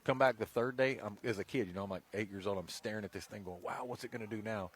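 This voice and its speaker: Fast-talking low-voiced man. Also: slightly rough. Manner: animated storyteller, acts out quotes. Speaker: radio sports broadcaster. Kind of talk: casual on-air storytelling and banter. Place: live high school football radio broadcast.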